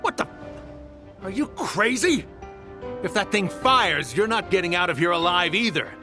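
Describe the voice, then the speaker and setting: A man's voice: high voice, lively intonation, normal speed, music in the background. A man playing a smug, greedy swindler turned socialite in a video game, with a taunting, theatrical delivery. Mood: alarmed.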